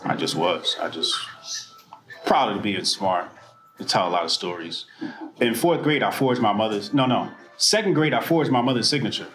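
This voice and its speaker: A man from New Jersey giving a personal storytelling speech at a political mixer, animated and profane, swinging between loud bursts and quiet asides. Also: lowish voice, normal speed. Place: event room. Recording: echoey room with faint ambience.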